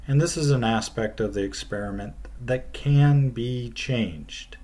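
A middle-aged man gives a science lesson from his desk, speaking at a slow, unhurried pace. His voice sits in an ordinary middle register. He lays out each idea carefully and lets his phrases fall away at the end, recorded on a webcam in a small plain room. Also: medium pitch, a little mellow. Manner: slow, deliberate explaining with falling phrase ends. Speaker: teacher. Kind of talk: recorded video lecture.

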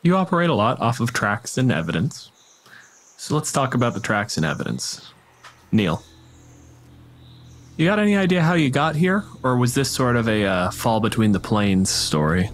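A man's voice: medium pitch, big pitch swings, normal speed, a little hiss or hum. A man acting as game master, narrating and asking questions in an improvised, conversational tabletop style. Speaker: game master and podcast host.

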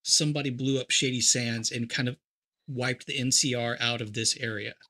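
Bright-voiced man explaining game lore. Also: medium pitch, fairly even intonation, moderate pace. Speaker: co-host guest. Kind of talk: casual explaining chat.

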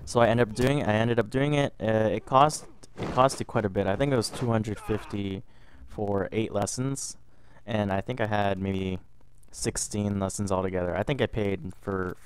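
Young man, a bit under the weather, casual rambling storyteller over game footage, low-pitched. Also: smooth. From Canada. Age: young adult. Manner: rambling, repeats words and restarts often. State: a little sick. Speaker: gaming vlogger.

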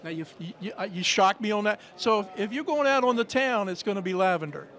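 A man's voice: sits high, expressive, fast talker, fairly bright tone. An older man interviewing players in a folksy, teasing way on a handheld mic. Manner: folksy, joking, teasing questions. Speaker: sports interviewer. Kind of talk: friendly sideline interview. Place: indoor media backdrop at a football event.

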